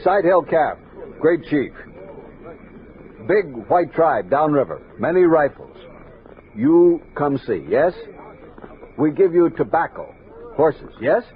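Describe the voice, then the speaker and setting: An actor playing a resolute, watchful army captain who narrates a western radio drama in measured, earnest tones. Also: male voice, fairly high pitch, sing-song, phone-quality sound. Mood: firm, friendly. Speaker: narrator and lead character. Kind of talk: 1960s western radio drama acting, first-person narration. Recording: noisy, band-limited 1960s radio recording.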